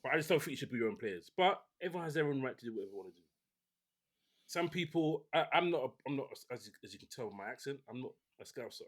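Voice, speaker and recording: A man hosting a casual football podcast, talking in a relaxed, opinionated way with many restarts. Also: mid-range voice, racing. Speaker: podcast host.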